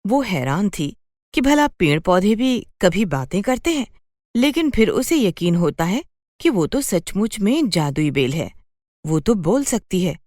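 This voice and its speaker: A woman's voice: medium pitch, big pitch swings, a little slow, darkish tone, no echo, studio quality. A woman narrating a scripted children's story as a storyteller.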